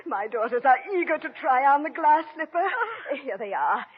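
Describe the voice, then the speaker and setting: Haughty high-voiced stepmother, old radio. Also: lively intonation, normal speed. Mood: gushing, eager. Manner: imperious, theatrical. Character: haughty, bossy, fawning stepmother of grown daughters. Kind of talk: old-time radio fairy-tale drama. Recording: muffled, noisy old radio recording.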